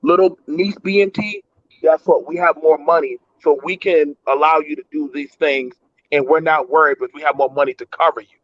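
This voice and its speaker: A man on a discussion panel, arguing in animated casual talk and often restarting his words. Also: fairly high pitch, brisk, highs cut off. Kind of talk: casual debate chat.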